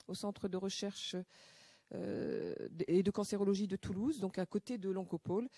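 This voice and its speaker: Woman host, mellow voice, slow hesitant intros, dry close sound. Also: medium pitch, no echo. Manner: halting, many fillers, polite. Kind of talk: event introduction. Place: lecture hall.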